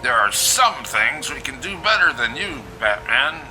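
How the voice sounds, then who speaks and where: An actor playing a gruff, businesslike police commissioner in an audio drama, speaking in an official manner. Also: male voice, medium pitch, very animated, a little slow, sharp tone, a little hiss or hum, exaggerated voice, low-quality recording.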